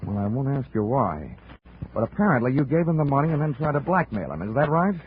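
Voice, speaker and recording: An actor in a 1950s radio drama playing a wisecracking, hard-boiled American insurance investigator, with a wry, punchy delivery. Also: male voice, medium pitch, sing-song, fast, a little hiss or hum, close to an everyday voice. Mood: brisk, pressing. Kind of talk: 1950s radio detective acting and narration. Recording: muffled old radio recording with music.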